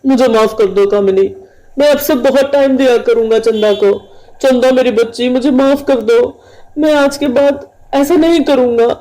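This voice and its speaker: A man voicing a stern, busy father in an animated story, speaking emphatically. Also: extremely high pitch, normal speed, poor audio. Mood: remorseful. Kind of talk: Hindi animated moral storybook dialogue.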